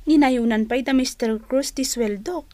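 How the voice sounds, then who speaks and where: Dutiful, high-voiced Filipino fruit seller. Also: female voice, measured pace, warm tone, dry recording. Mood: earnest. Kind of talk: Ilocano radio drama acting.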